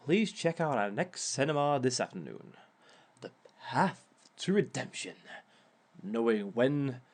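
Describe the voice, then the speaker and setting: A man playing a suave, smug reformed villain who lectures as a relationship expert in a theatrical, measured, drawn-out way. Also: medium pitch, gravelly, a little mellow, full character voice. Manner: theatrical, measured, drawn-out phrasing with sly chuckles. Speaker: speaker leading a seminar session. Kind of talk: scripted in-character audio roleplay lecture.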